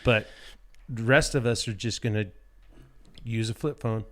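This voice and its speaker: An American man chatting casually as a podcast guest, joking in a deadpan, blunt and slangy way. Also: medium pitch, a little slow. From United States. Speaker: podcast guest, dirt bike rider. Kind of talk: casual chat.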